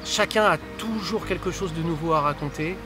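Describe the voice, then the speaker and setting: A man's voice: a little high, normal speed, over music. A man, giving an informal testimonial as a volunteer event organiser, hesitantly searching for his words. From Paris, France. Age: 60s.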